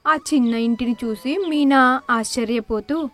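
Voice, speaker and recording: A woman's voice: on the high side, measured pace, fairly bright tone. A woman narrator reading a scripted moral story.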